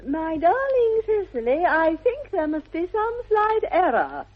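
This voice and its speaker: Imperious young society lady, upper-class English, high swooping voice, affected precise diction, vintage radio play. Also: wildly swooping pitch, measured pace, full character voice. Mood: icily polite. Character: poised, haughty young aristocratic lady, grandly self-assured. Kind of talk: 1960s radio comedy of manners acting. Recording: dull vintage 1960s radio recording.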